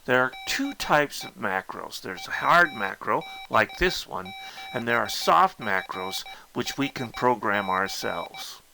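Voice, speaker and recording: A man with a bright, forward voice leads a hands-on tutorial. His mid-range pitch rises and falls in an animated way. He explains things casually and patiently, one simple step at a time. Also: a little slow, vocal fry, rough recording. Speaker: instructor and presenter demonstrating a ham radio keyer.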